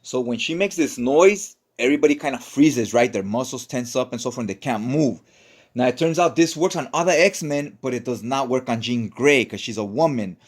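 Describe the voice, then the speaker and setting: A man's voice: mid-range voice, lively intonation, normal speed, slightly rough. A man narrating a casual recap in an informal, colloquial way, often checking in with "right?". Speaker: comic book recap narrator. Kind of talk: casual story recap commentary.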